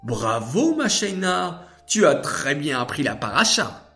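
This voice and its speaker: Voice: medium pitch, sing-song, unhurried, a bit bright, faint music behind. A storyteller voicing a kind, patient father who speaks affectionately and instructively to his child. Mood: proud, encouraging.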